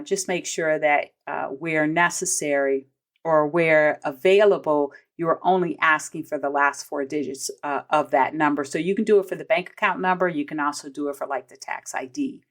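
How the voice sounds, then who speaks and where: Low-pitched American woman explaining. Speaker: podcast host, vendor payments trainer. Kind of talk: conversational instructional podcast monologue.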